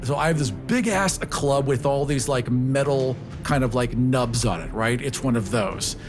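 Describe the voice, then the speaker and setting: A man's voice: mid-range voice, normal speed, music in the background. A man playing a tabletop game, casually describing his character's moves and rolls. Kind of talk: casual tabletop role-play chat.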